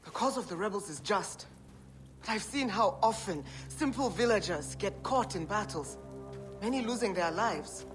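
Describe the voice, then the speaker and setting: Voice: mid-range voice, expressive, moderate pace, over music, natural voice. An actor playing a troubled, compassionate ally of village rebels in a video game, earnest and sober. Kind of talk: video game cutscene acting.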